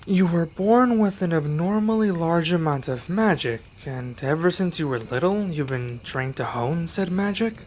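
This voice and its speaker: A man's voice: on the high side, normal speed, hardly any pauses, a little mellow, a little hiss or hum, natural voice, poor audio. A man playing an earnest young werewolf learning magic in an ASMR roleplay, speaking to one listener with some hesitation. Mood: hesitant, curious.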